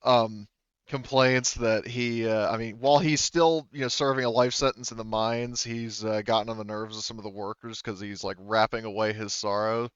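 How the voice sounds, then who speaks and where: A man's voice: lowish voice, steady tone, moderate pace, a bit bright, smooth, no room sound, dull audio. A man acting as game master, narrating a tabletop roleplaying game in an informal, conversational way. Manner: conversational, informal, occasionally swearing.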